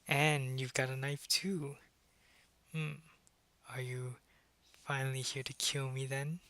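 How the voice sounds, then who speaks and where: Man playing a suave, calculating vampire boss, crisp bright voice. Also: mid-range voice, little pitch movement, no echo. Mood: coolly amused. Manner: measured, smug, politely menacing. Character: suave, calculating vampire businessman, head of a corporation. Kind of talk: ASMR roleplay audio drama.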